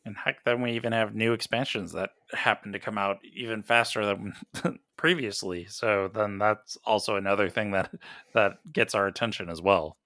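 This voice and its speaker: Bright, forceful low-pitched man chatting. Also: fairly even intonation, moderate pace, no echo, studio quality. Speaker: podcast co-host. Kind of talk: casual gaming podcast chat.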